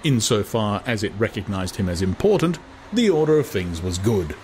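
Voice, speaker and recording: A man's voice: lowish voice, sing-song, moderate pace, a little mellow, low-quality recording. A man narrating a literary novel as a dramatized audiobook. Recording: clear background noise.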